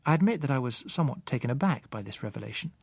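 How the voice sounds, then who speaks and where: A man reading a first-person novel as an audiobook narrator, measured and articulate. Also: medium pitch, expressive, creaky, husky, dry recording.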